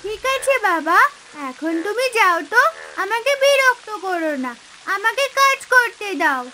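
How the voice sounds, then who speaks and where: A woman's voice: extremely high pitch, sing-song, a little slow, very noisy, full character voice. A woman voicing an earnest, innocent little village girl in a cartoon fairy tale. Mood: mildly defensive. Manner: childlike, eager, innocent.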